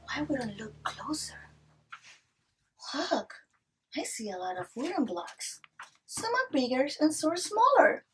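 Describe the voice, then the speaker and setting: A woman teaching small children through a read-aloud, with an animated, playful, child-directed delivery. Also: high-pitched, unhurried, pauses often. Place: small indoor classroom inside a mobile learning vehicle. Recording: distant mic with faint room noise.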